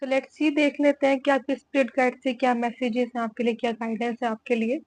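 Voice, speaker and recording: Hoarse woman tarot reader, high, flat delivery, slow and gentle; dry close sound. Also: no echo. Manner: slow, soft-spoken, mixing Hindi and English. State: hoarse with a cold. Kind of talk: tarot reading for viewers.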